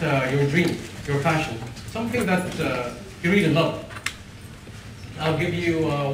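Young male motivational speaker, slightly high voice, animated, a distant mic in a roomy hall. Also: noisy background. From Oman. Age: young adult. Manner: animated, laughs at his own stories, acts out quotes. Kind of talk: storytelling motivational talk. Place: wood-panelled lecture room with a seated audience. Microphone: room mic at a distance while he walks the stage. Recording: echoey hall from far off.

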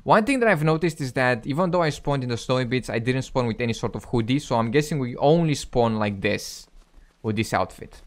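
A man's voice: medium pitch, expressive, a little fast. Young man doing casual game commentary. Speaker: gaming video host.

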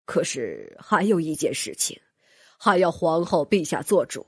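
An actor playing a scheming, obsequious court minister in a fantasy audiobook, speaking in formal, wordy, deferential phrases. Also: male voice, fairly high pitch, huge pitch swings, measured pace, vocal fry, slightly rough, no echo, clean studio sound, heavily acted. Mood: aggrieved, insinuating. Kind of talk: audiobook character acting.

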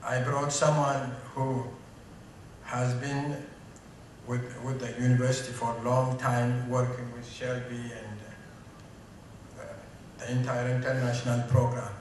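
Middle-aged Ethiopian-accented man, halting podium greeting full of fillers, roomy hall sound from a distant mic. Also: mid-range voice, completely flat delivery, a little mellow, a little hiss or hum. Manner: hesitant, many fillers. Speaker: interim business school dean. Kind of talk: speech at a tribute event. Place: event hall, on stage. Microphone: stand mic. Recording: echoey hall, recorded from a distance.

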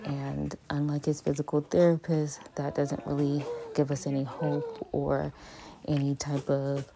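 Mom vlogging in a kids' playroom, low flat gravelly voice, hiss in the background. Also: female voice, measured pace. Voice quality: monotone. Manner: calm, even and matter-of-fact. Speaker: parent vlogger. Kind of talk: home therapy vlog, talking while working with her son. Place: small bright children's playroom indoors. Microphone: phone camera on a stand a few feet away.

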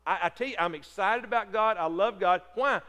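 A middle-aged man, preaching loudly and excitedly from a church pulpit, often stumbling over his words. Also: high-pitched, fairly quick. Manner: loud, excitable preaching with repeated stammers. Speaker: pastor. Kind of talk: sermon. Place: large church sanctuary. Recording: long reverb of a church hall.

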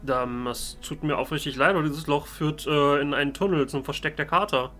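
A man's voice: a little high, fairly quick, bright, forceful tone, soft background music. A man doing improvised in-character let's play commentary, with casual, hesitant phrasing. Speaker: let's player.

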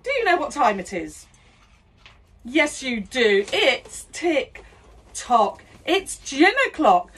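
A woman in her fifties with a high, lively voice plays a prim but cheeky schoolteacher, singing and laughing. She takes her time and gives her words a singsong swing. She is recorded close up on a phone or webcam in a small room at home. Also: slow pace, breathy edge. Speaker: comedy host. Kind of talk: rude comic song and story parody. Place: small decorated room indoors.

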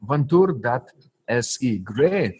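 Husky podcast host guy, keeps saying wonderful, halting, dry sound. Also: mid-range voice, sing-song, a little mellow, dry recording. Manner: enthusiastic, halting, fillers. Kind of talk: interview host.

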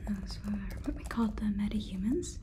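A woman's voice: medium pitch, brisk, warm tone, a little hiss or hum, lightly acted. A woman in her twenties voicing a polite, faintly condescending female AI robot overlord in a whispered ASMR roleplay, with stilted, soothing phrasing. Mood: explaining, reassuring. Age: 20s. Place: small room indoors.

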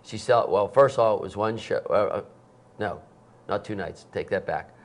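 A man's voice: on the low side, moderate pace. A man in his sixties, a concert promoter, telling stories in a TV studio interview and acting out the quotes. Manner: animated, acts out quotes with sudden loud bursts. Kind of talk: anecdotal storytelling. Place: TV studio set. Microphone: lapel mic.

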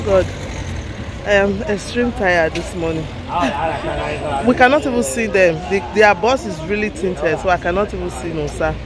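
A woman's voice: medium pitch, a little slow, crowd noise. A woman in her thirties with a Nigerian accent, vlogging casually to a phone camera while walking outdoors, often sighing and laughing. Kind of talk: casual vlog narration. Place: outdoors on city streets. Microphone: phone held at arm's length. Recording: noisy street with traffic.

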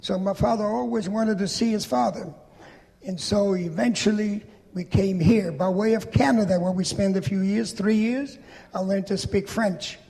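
A man's voice: sits high, normal speed, creaky. Older man in a hall. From Poland. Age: 80s. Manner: plain, steady storytelling with gestures. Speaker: Holocaust survivor speaker. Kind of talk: testimony talk to an audience. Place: large church hall. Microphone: handheld microphone. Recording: reverberant hall.

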